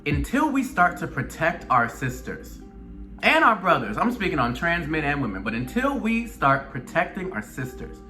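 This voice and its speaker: A man's voice: a little high, sing-song, moderate pace, bright, forceful tone, faint music behind. A man from Atlanta with a Southern US accent speaks earnestly and emphatically to his viewers in a personal commentary, repeating phrases to drive them home. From Atlanta, Georgia, United States. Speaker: video blogger, show host.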